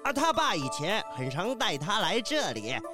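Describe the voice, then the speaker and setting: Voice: medium pitch, sing-song, moderate pace, music in the background, natural voice. A performer voicing a friendly, chatty kiwi bird professor in a children's audio drama, full of interjections. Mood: chatty, kindly. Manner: chatty, peppered with interjections, welcoming. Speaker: university teacher character in children's detective audio drama.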